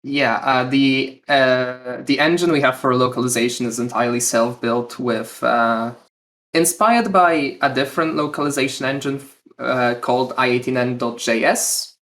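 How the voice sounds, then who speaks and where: A man's voice: medium pitch, moderate pace, fairly bright tone. A man, the lead developer guest on a podcast, speaking hesitantly with many fillers. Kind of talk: casual podcast interview.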